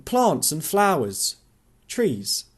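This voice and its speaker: A philosophical gardening neighbour in an audiobook, voiced by a male narrator. The man speaks at a raised pitch with measured, gentle persistence. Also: wildly swooping pitch, husky, full character voice. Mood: gently insistent. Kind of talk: audiobook character dialogue.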